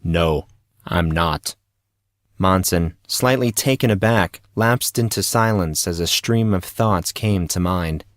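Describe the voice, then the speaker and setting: Low-voiced man narrating fiction. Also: measured pace, few pauses, no room sound, clean studio sound.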